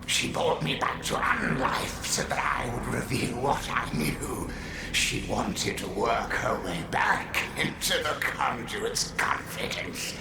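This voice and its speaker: An actor playing a fanatical undead cultist in a video game, theatrical and reverent, with a gravelly voice that drops into whispers. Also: male voice, medium pitch, animated, heavy background noise, exaggerated voice. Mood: bitter, scornful. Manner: theatrical, savoring, slow delivery. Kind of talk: dramatic video game monologue. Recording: echoing voice under loud music.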